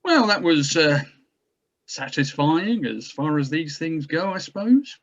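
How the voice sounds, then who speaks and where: A man's voice: fairly high pitch, expressive, unhurried. A man playing a pompous, evasive government geneticist in a scripted drama table read, speaking formally and hesitantly. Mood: evasive, measured. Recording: dull lo-fi sound.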